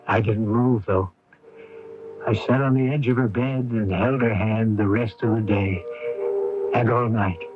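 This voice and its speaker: A man playing a middle-aged, devoted, anxious father in a radio drama, speaking in a halting, earnest, plain-spoken way. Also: mid-range voice, close to an everyday voice. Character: devoted, anxious, simple-minded father of ailing daughter. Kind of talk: 1980s radio drama acting with first-person narration. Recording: dull lo-fi AM radio recording.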